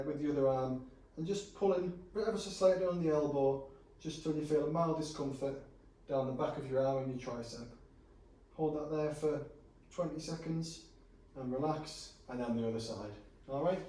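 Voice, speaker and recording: Guy coaching stretches, a bit hoarse, lots of ums, roomy gym sound from a distance. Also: mid-range voice, a little hiss or hum. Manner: relaxed, reassuring instructions with frequent fillers. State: going hoarse. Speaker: personal trainer. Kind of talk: coaching a client through stretches. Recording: echoey room from a distance.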